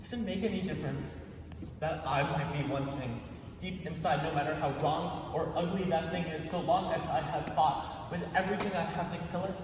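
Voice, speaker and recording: A man's voice: on the high side, fairly even intonation, fairly quick, natural voice. A man playing a repressed, devout, defensive young husband in a live dramatic stage reading. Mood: pleading, tormented. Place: large reverberant museum hall. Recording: echoey hall from far off, noisy.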